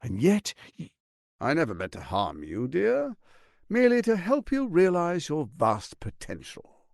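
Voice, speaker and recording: A scheming male archduke for a video game, his delivery swinging dramatically from hushed lows to soaring highs. There is a gravelly creak under the silky, pompous menace. The recording is close and dry, every phrase placed with theatrical relish. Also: wildly swooping pitch, a little slow, dry recording, exaggerated voice. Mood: silky, mocking. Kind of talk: video game character acting.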